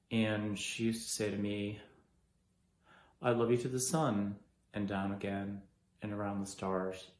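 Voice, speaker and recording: A man performing a dramatic monologue as a wounded, betrayed person, halting and bitterly repetitive. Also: lowish voice, a little mellow, voice pushed down, close to an everyday voice. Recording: echoey room.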